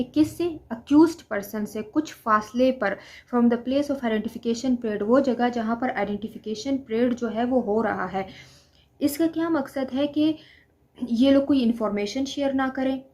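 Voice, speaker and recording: A woman's voice: on the high side, darkish tone. A woman with a Pakistani accent lecturing on study notes, reading them out and explaining in a didactic way with repetition and rhetorical questions. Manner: didactic, repeats points and asks rhetorical questions. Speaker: law lecturer.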